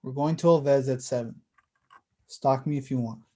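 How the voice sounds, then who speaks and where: A man's voice: medium pitch, moderate pace, mellow, lightly acted. Actor playing a blunt, wounded younger man. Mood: hurt, resigned. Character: defensive, wounded blind brother of a stressed sister. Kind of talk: staged reading of a play.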